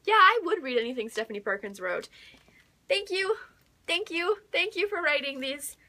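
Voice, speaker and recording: Young American female book vlogger; high-pitched, bright, excitable and chatty, with emphatic repetition. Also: moderate pace. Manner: gushing, emphatic, repeats words for emphasis. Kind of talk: casual excited chat to viewers.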